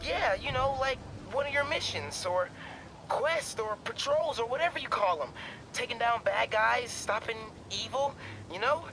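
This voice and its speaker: An eager teen sidekick in a video game, very high in pitch. He rambles in a hesitant, casual way, with music clearly behind him. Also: lively intonation, normal speed, low-quality recording. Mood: eager, pleading. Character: eager, awkward teenage boy, aspiring superhero.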